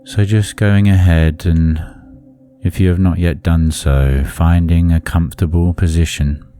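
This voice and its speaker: A man leading a guided meditation in a soothing, deliberate manner, leaving long pauses between phrases. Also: deep voice, fairly even intonation, dark tone, smooth, soft background music.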